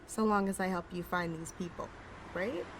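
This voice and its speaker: A woman's voice: fairly low pitch, steady tone, moderate pace, background noise, lightly acted. A woman playing a cocky, wisecracking outlaw thief with a sassy, sarcastic, foul-mouthed delivery in an ASMR roleplay audio drama. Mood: incredulous, mocking.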